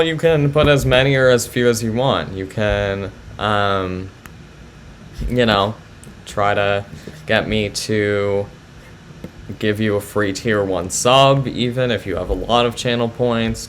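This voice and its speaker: A man with a low voice, chatting casually with viewers as a chess streamer, soft-spoken and hesitant with many fillers. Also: fairly even intonation, takes it slowly, a little hiss or hum. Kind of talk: casual live-stream chat.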